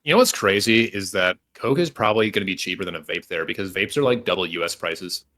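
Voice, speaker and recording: A man's voice: low-pitched, expressive, quick pace, fairly bright tone. A young man on a podcast telling stories about himself, rambling with many fillers and poking fun at himself. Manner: rambling, lots of likes and dudes, self-mocking. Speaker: podcast co-host. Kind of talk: casual storytelling chat.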